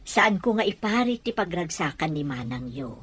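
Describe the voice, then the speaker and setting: A woman's voice: fairly low pitch, very animated, normal speed, husky. A woman acting a protective, motherly older aunt who probes and worries, in a radio drama with an Ilocano accent. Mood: worried, confiding.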